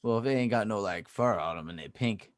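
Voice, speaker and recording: A man's voice: lowish voice, fairly quick, no echo, studio quality. A man co-hosting a podcast in casual chat, easygoing and teasing in his banter. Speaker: podcast co-host.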